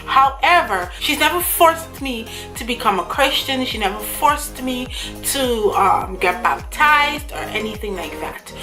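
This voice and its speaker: A woman's voice: on the high side, expressive, measured pace, sharp tone. A young woman from Guyana, talking earnestly and chattily to camera while reading from her notes. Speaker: vlogger. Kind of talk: casual talk to camera. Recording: music playing under voice. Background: background music.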